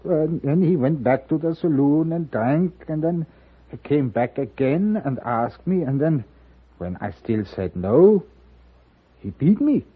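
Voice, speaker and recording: An actor playing a frail, fearful old German gunsmith turned storekeeper in a radio western, speaking with a German accent in halting, old-world phrasing. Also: male voice, a little high, animated, husky. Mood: fearful, resigned. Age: older. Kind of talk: 1950s radio western acting. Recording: thin narrow-band old radio recording.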